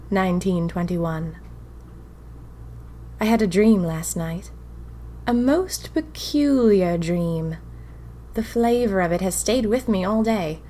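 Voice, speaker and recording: A woman's voice: mid-range voice, dark tone, a little hiss or hum, lightly acted. Woman acting as a dreamy young 1920s girl doing diary entries for a fiction podcast, romantic, nervy, confiding. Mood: dreamy, wistful. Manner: wistful, confiding, wryly dramatic. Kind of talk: first-person diary audio fiction.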